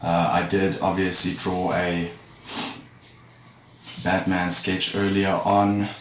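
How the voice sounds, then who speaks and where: A low-voiced man chats in a loose, rambling way with his viewers as he draws, with a slight congestion from a cold. The sound has a roomy echo, with a faint hum of noise behind it. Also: slow. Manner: relaxed and rambling, talks to viewers while drawing. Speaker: art streamer. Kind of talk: casual live-stream chat while drawing. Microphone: streaming setup with a camera facing him. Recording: echoey room with faint background noise.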